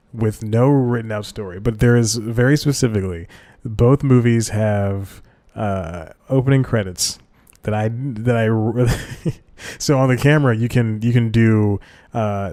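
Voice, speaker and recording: Young male podcast host with a lowish voice, rambling and self-correcting, casual solo talk. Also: dark tone. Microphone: desk microphone.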